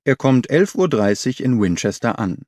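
Man reading as an earnest gentleman sidekick, dry sound. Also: mid-range voice, big pitch swings, normal speed, no echo, close to an everyday voice. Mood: matter-of-fact. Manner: earnest, appreciative. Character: detective's loyal, warm-hearted gentleman companion.

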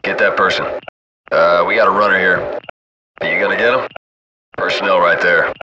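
Man as low-voiced cocky gunship crewman, noisy. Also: steady tone, moderate pace, close to an everyday voice. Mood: cool, focused. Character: laid-back, cocky adult male military gunship crewman. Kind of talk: casual military radio chatter. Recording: distant military radio, loud aircraft cabin noise.

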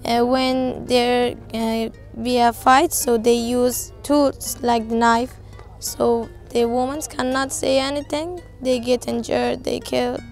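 A fifteen-year-old girl from Afghanistan with an Afghan accent, answering interview questions in halting, simple non-native English. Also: a little high, little pitch movement, faint music behind. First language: not English. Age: 15, teenager. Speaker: interviewee, volunteer translator. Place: outdoors on a roadside.

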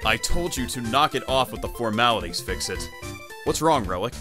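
A man's voice: mid-range voice, lively intonation, normal speed, natural voice. A man voicing a blunt, earnest, easily exasperated young male echidna guardian in a cartoon fan dub. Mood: businesslike. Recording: music behind the voice.

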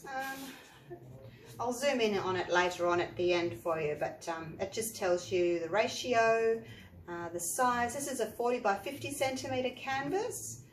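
An Australian woman, an art teacher, chatting step by step through a painting tutorial with a croaky voice from the flu. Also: fairly high pitch, unhurried. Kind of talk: tutorial narration. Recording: echoey room, faint noise.

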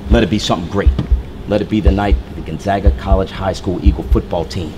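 Low-voiced man giving a solemn motivational speech. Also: steady tone, quick pace. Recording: noisy ambient background.

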